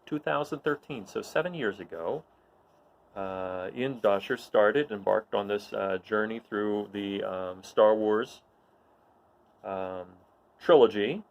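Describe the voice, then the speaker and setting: A man casually introducing readings and setting scenes in a halting, conversational way. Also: lowish voice. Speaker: host, storyteller.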